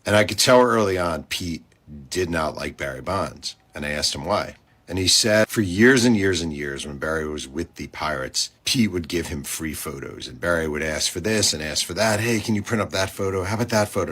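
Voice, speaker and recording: A man's voice: sits low, pushed and bright, slightly rough. An American man, a sportswriter, telling an anecdote in a casual, direct storytelling style. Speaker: sportswriter and biographer.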